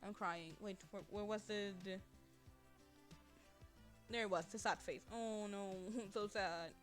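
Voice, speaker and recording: Young female streamer, Spanish first language; chatty, rambly, quick to laugh; mid-pitched; faint music bed. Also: steady tone. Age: young adult. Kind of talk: casual livestream chat. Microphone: desk microphone.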